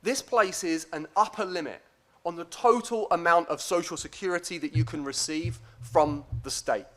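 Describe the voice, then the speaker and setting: A man with a slightly high voice delivers an academic conference lecture. His manner is deliberate and a little hesitant, with a modest, self-deprecating air as he addresses his listeners. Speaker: academic guest speaker.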